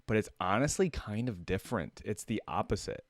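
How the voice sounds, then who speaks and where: A man's voice: on the low side, animated, brisk, no echo, studio quality. A man hosting a reaction video, giving slangy, musing fan commentary in a casual way, with short interjections.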